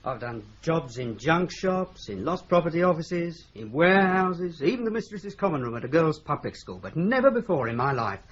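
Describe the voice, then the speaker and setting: A blunt, grumbling police officer. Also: male voice, on the high side, very animated, normal speed, hardly any pauses. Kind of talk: radio drama acting. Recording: dull lo-fi radio recording, faint hiss.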